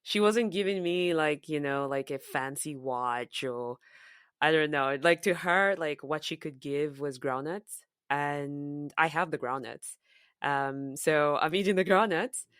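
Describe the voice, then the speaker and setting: A woman from Zambia whose accent is softened by years in the US and UK, co-hosting a podcast in casual, reflective chat with frequent fillers and restarts. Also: low-pitched, unhurried, smooth, no echo, studio quality. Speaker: podcast co-host.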